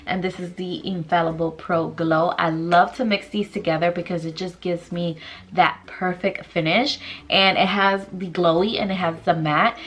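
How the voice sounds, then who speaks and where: A woman's voice: on the low side, fairly even intonation, moderate pace. A young woman in her twenties with a stuffy nose, chatting casually through a makeup tutorial in a small room, rambling with lots of fillers. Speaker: beauty vlogger. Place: small bedroom vanity indoors.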